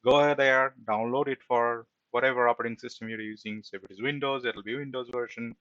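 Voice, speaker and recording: A man's voice: medium pitch, steady tone, fairly quick, fairly bright tone, no room sound, dull audio. A man giving an informal screen-share programming tutorial as an instructor, explaining step by step and often checking in with a short tag question.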